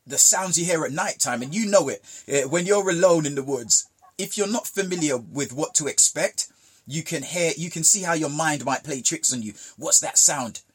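A man's voice: a little high, lively intonation, moderate pace, a bit bright, breathy edge. A man from Jamaica telling childhood stories in casual conversation, with emphatic repetition. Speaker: guest.

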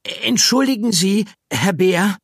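A man's voice: sits high, lively intonation, slow, slightly rough, dry recording, clean studio sound, voice pushed up, heavily acted. A man voicing a small, timid young female mouse for a children's audiobook, speaking in a courteous, careful manner. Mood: calling out politely.

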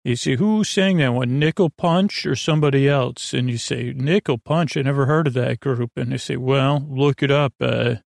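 Man, creaky fry, lulling, meandering and halting, bedtime podcast host, dry close sound. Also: mid-range voice, no echo. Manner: meandering, halting, full of tangents and self-corrections; lulling, soothing. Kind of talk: rambling bedtime monologue.

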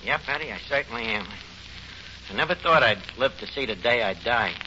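A man's voice: mid-range voice, lively intonation, a little fast, close to an everyday voice. A man playing a blustery New York wiseguy, muffled old radio. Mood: mock-tragic. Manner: malapropisms, slangy ungrammatical bluster. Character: anxious, blustering tavern manager, comic wiseguy. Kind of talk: 1940s radio comedy acting. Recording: muffled, noisy 1940s radio recording.

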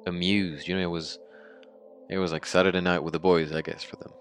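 An actor playing a haunted young Mexican man in his twenties, telling his tragic story in a halting, sweary, informal monologue for an audio drama. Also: sits low, level tone, soft background music. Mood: grave, haunted. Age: 20s.

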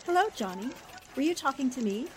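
High-voiced breezy young newspaperwoman. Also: lively intonation, brisk, darkish tone, slight background noise. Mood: puzzled. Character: clever young newspaper columnist, breezy and unruffled. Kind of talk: 1940s radio drama acting.

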